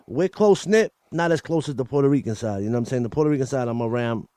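A man's voice: medium pitch, animated, fast talker, mellow, no echo. Candid New York man, dry close mic. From Coney Island, New York. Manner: candid, repeats words and restarts often. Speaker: guest, battle rapper. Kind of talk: casual interview talk.

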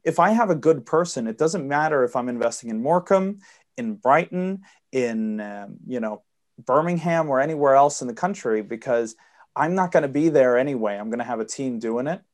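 Swedish-American man explaining investing. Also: medium pitch. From Sweden. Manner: fluent, explanatory, with fillers. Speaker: guest investor. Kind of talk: podcast interview.

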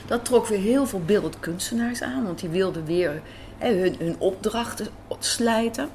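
A woman's voice: mid-range voice, normal speed, darkish tone, a little hiss or hum. A woman telling stories casually as an interview guest. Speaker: interview guest, radio drama writer and director. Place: café in a hotel. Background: faint café ambience.

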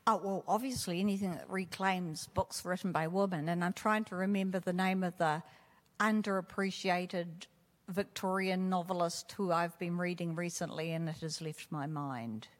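A woman's voice: lowish voice, fairly even intonation, moderate pace, long reverb tail. Chatty New Zealand woman author, laughing. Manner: warm, rambling, laughs often, loses her train of thought. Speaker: author guest. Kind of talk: casual interview conversation.

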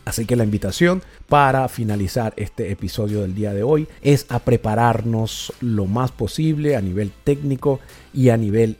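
Husky, warm-voiced man giving advice. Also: medium pitch. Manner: measured, didactic, motivational. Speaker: podcast host and communication trainer. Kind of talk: instructional podcast monologue.

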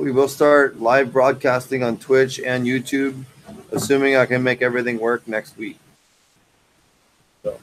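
A man's voice: mid-range voice, slight background noise, poor audio. A man hosting a casual podcast, explaining technical details step by step from a large indoor grow facility. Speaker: podcast host.

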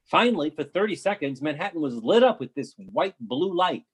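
An actor playing a man who describes watching explosions and a blackout from a deck in a steady, descriptive way. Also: a little high, fairly quick, husky.

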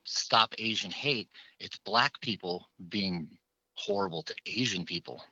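A father calling in to a show and telling his story in an earnest, halting way. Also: fairly low pitch, big pitch swings, creaky, bright, forceful tone, slightly rough, no room sound. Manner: earnest, halting, repeats words. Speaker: caller, parent. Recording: muffled phone line.

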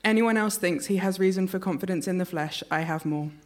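A woman reads scripture aloud at a church service in a steady, earnest voice. Her pitch sits on the low side for a woman. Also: a little fast, a little hiss or hum. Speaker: scripture reader. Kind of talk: reading a Bible passage aloud. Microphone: church microphone.

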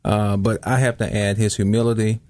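Man, pastor, low pitch, measured, laughs easily, dry close sound. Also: little pitch movement, darkish tone, no echo. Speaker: pastor, guest. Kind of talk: casual interview talk. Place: studio room.

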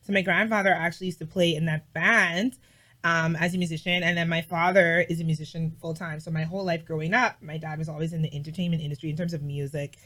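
A woman vlogger chatting casually as an interview guest, emphatic and animated, with lots of "like". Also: low voice, quick pace. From Bahamas.

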